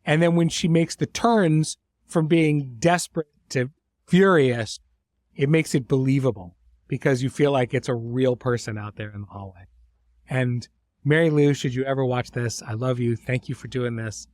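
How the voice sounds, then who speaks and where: A man's voice: mid-range voice, moderate pace, a little mellow, no echo, clean studio sound. A middle-aged man hosting a podcast in an informal, conversational way, effusive with his praise. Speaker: host and series creator.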